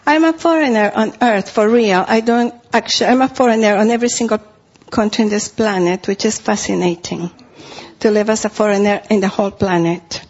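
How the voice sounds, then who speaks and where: A woman's voice: mid-range voice, a little fast, darkish tone, muffled recording. A middle-aged woman from Costa Rica with a Spanish accent, preaching a sermon in an earnest way and repeating phrases for emphasis.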